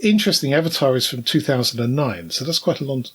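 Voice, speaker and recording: A mature British man with a slightly husky voice chats as a podcast co-host. He tells stories at a measured, conversational pace of thought, dropping in wry asides. His pitch is average for a man. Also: animated, a bit bright. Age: middle-aged or older. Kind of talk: casual podcast chat with anecdotes.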